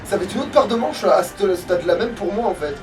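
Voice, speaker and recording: A man's voice: high voice, expressive, quick pace, music in the background. A man playing a video game and commenting casually in a rambling, exasperated, grumbling way. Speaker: let's player. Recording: distant mic with faint background noise.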